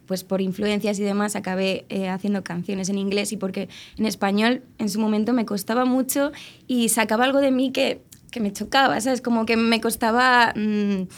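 A young woman from Alicante in Spain chats about her music in an easygoing interview. She rambles, restarts her sentences and fills the gaps with small hesitations. Her voice sits at a middling pitch. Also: steady tone, moderate pace. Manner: chatty, rambling with many fillers and restarts. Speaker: musician guest. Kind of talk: casual interview chat.

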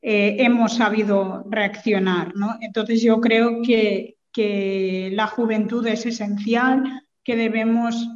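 Young female interview guest. Slow, halting, reflective delivery, fairly flat melody, dull lo-fi sound. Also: a little high. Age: young adult. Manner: hesitant, pausing and repeating words. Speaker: interview guest, jurist and political scientist. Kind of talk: thoughtful spoken interview answer.

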